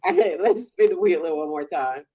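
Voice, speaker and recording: A woman's voice: fairly low pitch, mellow, muffled recording. A woman hosting a podcast, chatting casually in a folksy, colloquial way. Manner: folksy, colloquial, frequent backchannels. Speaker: podcast host. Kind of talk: casual chat.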